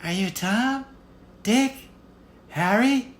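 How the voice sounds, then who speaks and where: A man's voice: very high-pitched, drawn-out words, pitched above the performer's own voice, heavily acted. A man voicing a timid, distressed young girl in a storybook fairy tale. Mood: anxious guessing. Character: young girl, tailor's distressed daughter, later queen. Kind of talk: storybook character voice.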